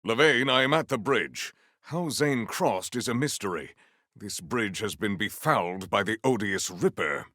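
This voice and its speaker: A male actor voicing a proud, potent warrior in a video game, with formal, grandiose, deadpan delivery. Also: on the low side, unhurried, no room sound, studio quality, full character voice. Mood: solemn, grandiose. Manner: formal, stilted, grandiose diction. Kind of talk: scripted video game character lines.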